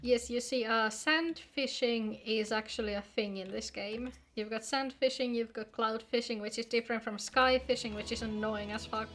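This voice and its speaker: A woman's voice: on the high side, steady tone, moderate pace, few pauses, a bit bright. A woman streaming a video game, chatting casually and playfully with her viewers, informal and free with swearing. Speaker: live streamer playing an online game. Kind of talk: casual gaming livestream chat.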